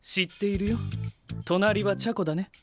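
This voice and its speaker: A man's voice: high voice, steady tone, normal speed, over music, natural voice. A man playing a self-assured young scientist knight in an anime audio drama, speaking in formal, old-fashioned, lofty phrases. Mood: composed, welcoming.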